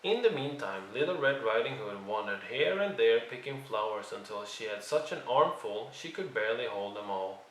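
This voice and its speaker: A man narrating a children's read-along story in an expressive storytelling style. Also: mid-range voice, moderate pace, few pauses, a little hiss or hum. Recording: roomy, distant microphone.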